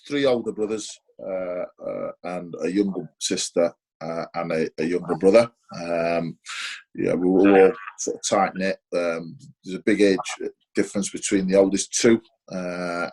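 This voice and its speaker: A man's voice: low voice, a little mellow, poor audio. A man in his forties with a Sheffield accent, answering interview questions hesitantly, with lots of fillers and restarts. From Sheffield, England. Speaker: interview guest, former footballer.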